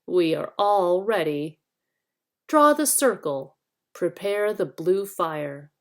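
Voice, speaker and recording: A dreadful gray werewolf from a storybook, acted by a woman reading aloud. He is menacing and commanding. His words come as dark, deliberate orders. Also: on the low side, animated.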